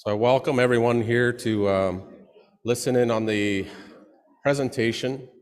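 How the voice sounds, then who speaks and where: A man who is a chief, giving a formal presentation to an assembly in a loud voice with many pauses. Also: medium pitch, steady tone, clean tone. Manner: loud, pausing often. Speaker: chief and languages portfolio holder. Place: large assembly hall. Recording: long hall reverb.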